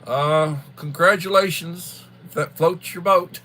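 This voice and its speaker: A man in his early sixties chatting casually on a livestream, with a halting, folksy manner and a habit of repeating himself. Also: fairly high pitch, steady tone, pushed and bright. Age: early 60s. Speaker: livestream host, school worker. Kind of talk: casual rambling livestream chat.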